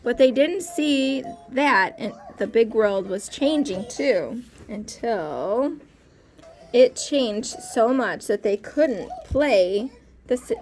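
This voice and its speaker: A woman teacher reading a storybook aloud to young children, expressive and pausing to ask questions. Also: a little high.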